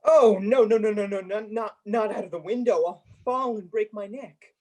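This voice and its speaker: A man's voice: high-pitched, normal speed, a little mellow, natural voice. A man acting a grumpy, miserly old man in a radio play, blustering and grumbling. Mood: alarmed.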